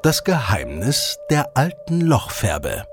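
A man's voice: mid-range voice, wildly swooping pitch, slow. A man narrating a scripted children's audio drama as a storyteller. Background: music.

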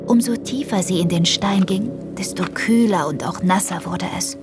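A woman's voice: on the low side, moderate pace. A woman narrating a children's audio drama in a scripted storybook style. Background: music.

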